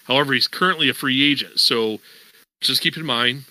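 Bright-voiced man on a sports podcast. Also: mid-range voice, fairly quick, no room sound, rough recording. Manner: conversational, many fillers, explains at length. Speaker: podcast host. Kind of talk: casual sports podcast talk.

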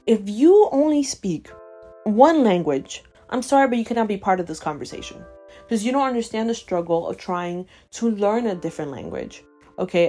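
A woman in her twenties, from Ecuador with Spanish as her first language, hosting a casual podcast and ranting emphatically, often tagging on "okay?". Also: mid-range voice. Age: 20s. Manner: emphatic and animated, keeps asking "okay?". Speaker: podcast host. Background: faint chill background music.

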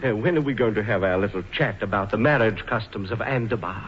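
A man's voice: fairly low pitch, fast, a bit bright, close to an everyday voice. A man playing a courtly, fussy older retired colonial governor with a pompous, easily flustered manner, in radio play style. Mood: flirtatious. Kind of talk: 1940s radio play acting. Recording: dull, noisy old radio recording.

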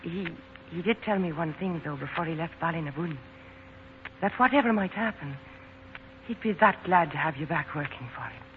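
An actress playing a warm, flustered young Irish woman in 1940s radio drama style. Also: low voice, lively intonation, normal speed. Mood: hesitant, tender. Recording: muffled, noisy 1940s radio recording.

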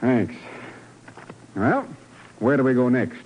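A man's voice: mid-range voice, very animated, takes it slowly, slight background noise. An actor playing a smug small-time male con artist in a 1950s radio crime drama, on a dull old broadcast recording.

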